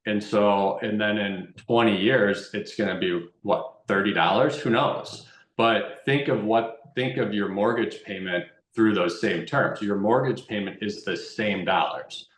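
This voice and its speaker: A man's voice: fairly low pitch, a bit bright. A man explaining things informally as a guest expert, repeating phrases for emphasis. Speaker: guest real estate investment expert. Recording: roomy, echoey sound.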